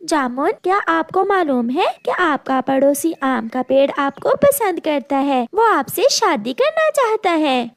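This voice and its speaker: Lady doing a helpful little girl bird, kids cartoon, high and really animated. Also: measured pace, few pauses, no room sound, heavily acted. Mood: caring. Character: helpful little female bird, eager, caring messenger. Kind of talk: cartoon storybook character acting.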